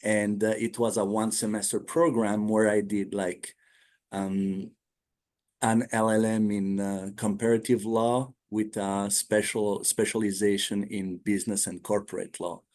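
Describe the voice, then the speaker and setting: Man, 30s, English, lowish voice, hesitant, many fillers. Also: level tone, a little mellow. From Switzerland. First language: not English. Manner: halting, many fillers and restarts. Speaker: guest, attorney. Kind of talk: casual interview talk.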